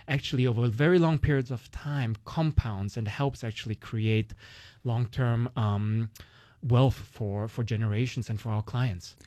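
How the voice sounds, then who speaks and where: A man's voice: medium pitch, darkish tone, no echo. A man with a Romanian accent, a guest explaining investing in casual conversation, with many fillers. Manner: uses many "like" and "you know" fillers, explains with analogies.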